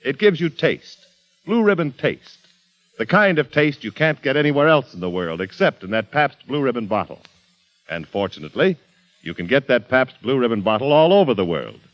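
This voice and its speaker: A man announcing and reading a beer ad on a 1940s radio show, smooth and persuasive. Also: medium pitch, very animated, moderate pace, poor audio.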